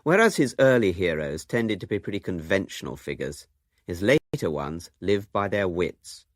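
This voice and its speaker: A man narrates a radio documentary from a script. His voice is low and sits close and dry, and his intonation moves around freely. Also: animated, moderate pace, dry recording.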